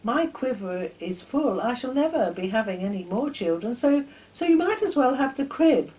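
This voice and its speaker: A woman's voice: fairly high pitch, very animated, moderate pace, darkish tone, pitched above the performer's own voice, rough recording. An older woman voicing a slightly wistful, generous female friend in a children's story.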